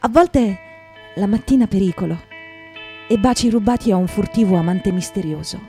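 A woman performing a dramatic poetry recitation for radio theatre, in measured, short, separated phrases. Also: medium pitch, dark tone. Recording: voice over acoustic guitar music. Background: acoustic guitar.

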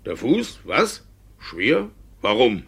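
A shifty old country judge in classical 1950s radio drama style. The voice is husky and creaky, swinging widely in pitch as he grumbles and exclaims. He comes across as clumsy and evasive, halting as he goes. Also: huge pitch swings, fairly bright tone, full character voice. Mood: indignant, evasive. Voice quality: gravelly, husky. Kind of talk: 1950s German classical radio play acting. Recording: vintage 1950s radio recording.

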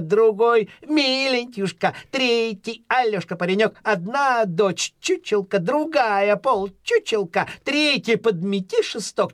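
A woman's voice: mid-range voice, no echo, studio quality. A woman voicing a protective, scolding tomcat with emphatic rhymed speech in a children's audio play. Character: protective, scolding tomcat, big brother to rooster.

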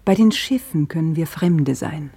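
An actress playing a young widow who speaks slowly and often in a whisper, in a radio play. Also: female voice, low-pitched, expressive, breathy edge, dry recording, exaggerated voice. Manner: slow, hushed, often whispering. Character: Ines, young widow, estranged, bitter. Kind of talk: radio drama acting.

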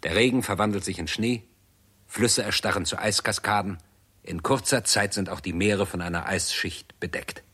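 An actor narrating a radio drama as a grave chronicler, in a measured, sober, matter-of-fact manner. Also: male voice, on the low side, sharp tone, breathy edge. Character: grave male chronicler of a world catastrophe.

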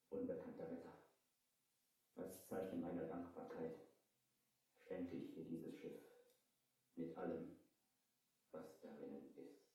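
An actor playing a cursed, dying pirate captain in a 1970s German audio play, speaking slowly, solemnly and dramatically. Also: male voice, low-pitched, warm tone. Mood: fading, grateful. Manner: slow, solemn, dramatic delivery. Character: cursed dying pirate captain, brutal once, now grateful. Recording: cavernous echo, distant from the mic.

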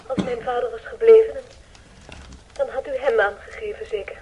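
A woman's voice: on the high side, sing-song, moderate pace, mellow, a little hiss or hum, rough recording. An actress playing a hunted man's anxious daughter in a radio play, guarded and probing with her questions. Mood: tense, probing. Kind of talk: Dutch radio play acting.

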